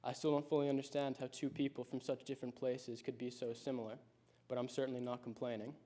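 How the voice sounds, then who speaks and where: A high school senior in his late teens delivering a prepared personal speech, telling his story in a reflective, measured way. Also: little pitch movement, dark tone.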